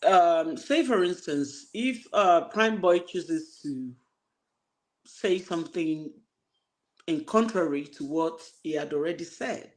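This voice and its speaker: A man on an online panel, speaking in a relaxed, agreeable and measured way. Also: fairly high pitch, low-quality recording. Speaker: panel guest. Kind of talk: casual panel chat.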